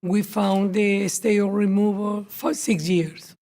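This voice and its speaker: A Colombian woman with a Spanish accent, a mother speaking halting, simple English in a documentary interview. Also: mid-range voice, fairly even intonation. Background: soft background music.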